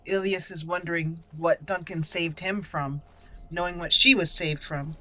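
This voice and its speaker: Lower-voiced woman narrating a video game. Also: steady tone, measured pace, pushed and bright. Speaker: host of a gaming channel. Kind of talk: let's play commentary with roleplay.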